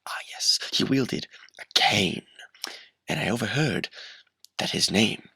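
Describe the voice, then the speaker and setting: A man voicing an earnest, hesitant witness who gives a halting, hushed account in a radio play. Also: fairly low pitch, expressive, vocal fry, husky, no room sound, in a whisper, heavily acted. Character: hesitant male witness phoning in, earnestly accusing.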